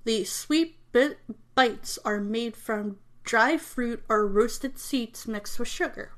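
A woman's voice: a little high, slow pace. A woman whose first language is not English, reading an informational text aloud with frequent stumbles and self-corrections. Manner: apologetic about mispronouncing names. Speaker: reader presenting a text.

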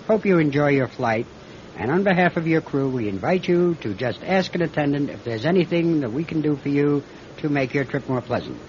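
Radio drama: male actor as seasoned airline captain, commanding yet rattled, very animated pitch, dull noisy old recording. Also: a little fast, natural voice. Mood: calm, courteous. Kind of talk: classic radio drama acting.

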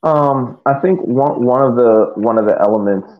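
A man giving casual match analysis as a podcast panelist, thinking aloud haltingly and repeating words. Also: medium pitch. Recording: thin, phone-like connection.

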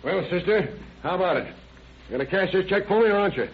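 An actor playing a belligerent, slangy crook in an old radio crime drama. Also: male voice, high voice, lively intonation, quick pace. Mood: pushy, impatient. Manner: pushy, slangy, tough-guy talk. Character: belligerent fast-talking male crook, cornered and evasive. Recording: muffled old radio recording with hiss.

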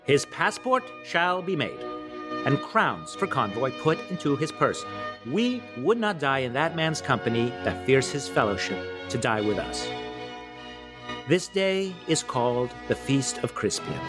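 A man performing a stirring medieval king rallying his outnumbered soldiers in a declamatory, amateur theatrical soliloquy with weighty pauses. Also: on the high side, voice pushed up. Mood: defiant, urgent.

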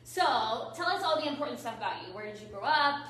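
A woman hosts an interview, cheerful and quick, scattering "like" through her questions. Her voice is bright and a little high. She is away from the mic, in a strongly echoing room. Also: very animated, a little hiss or hum. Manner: upbeat, fast, says "like" a lot. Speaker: host, yoga teacher. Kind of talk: podcast interview hosting. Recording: very echoey room, far from mic.

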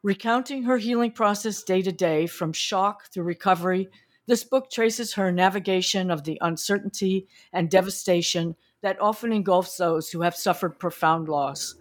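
A woman's voice: mid-range voice, moderate pace. A woman hosting a podcast, reading a careful scripted introduction and then asking questions in a looser way. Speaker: podcast host.

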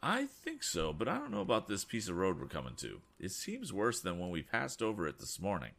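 A man's voice: low voice, huge pitch swings, brisk, vocal fry, a bit bright, exaggerated voice. A man voicing an earnest young male inventor in a storybook reading, talking urgently.

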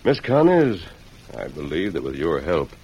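Very deep-voiced, resolute western hero. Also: male voice, sing-song, normal speed, darkish tone, breathy edge, lo-fi sound, heavily acted. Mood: calm, resolute. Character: calm resolute male helper planning to clear an innocent man. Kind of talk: old-time radio western acting. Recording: noisy vintage radio recording.

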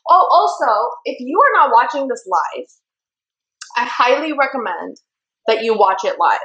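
Here is a chatty woman hosting a live web talk show. Her voice sits a little high, she giggles easily and wanders between thoughts, and the room around her is echoey. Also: big pitch swings, a little slow, sharp tone, muffled recording. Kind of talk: casual live-stream chat.

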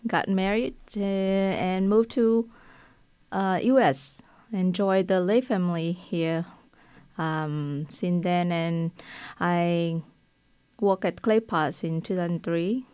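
A woman with a Vietnamese accent speaks slowly, picking her words with care. Her voice is lowish, smooth and clear. It is recorded dry in a studio. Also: steady tone, a little mellow, no room sound. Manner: slow, careful, halting. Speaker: restaurant owner. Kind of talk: radio interview. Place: radio studio.

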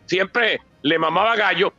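A man's voice: high voice, measured pace, sharp tone, no echo, highs cut off. An older Venezuelan man speaking off the cuff at a public talk, telling political history emphatically and repeating phrases for weight. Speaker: author and political speaker at a book presentation. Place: theatre stage, close dry microphone. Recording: band-limited radio sound, music underneath.

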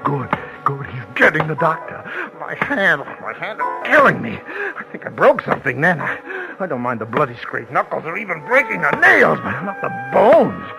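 Brief: sly inmate putting on a guilt-crazed act, 1940s radio drama, wildly swinging pitch, music bed. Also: male voice, huge pitch swings, moderate pace, creaky, heavily acted, poor audio. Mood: frantic, raving. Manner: theatrical, swings between sly murmurs and frantic shouting. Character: scheming male prisoner faking guilt-crazed madness.

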